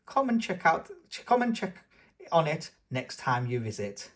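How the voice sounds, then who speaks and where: A man's voice: a little high, very animated, measured pace, fairly bright tone, heavily acted. A man voicing a kindly, patient old grandfather pig who gardens, in a children's storybook read-aloud. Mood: warm, encouraging.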